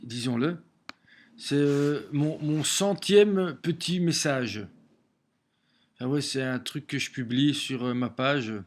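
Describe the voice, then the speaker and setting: A man with a cold, talking alone to his camera at home in a halting way, with many repeated words and restarts. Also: on the high side. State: has a cold. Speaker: vlogger sharing daily messages. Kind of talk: casual home video monologue. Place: small room at home, facing a window.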